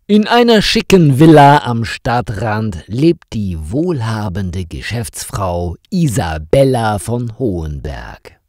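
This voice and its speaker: A man reads a story aloud at a slow, deliberate pace, his pitch rising and falling broadly to carry the plot. His voice sits somewhat low. The recording is dry and close, with no room sound. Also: no room sound. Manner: deliberate, clearly articulated, lively intonation. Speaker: narrator of German learner stories. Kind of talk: slow scripted story narration for learners.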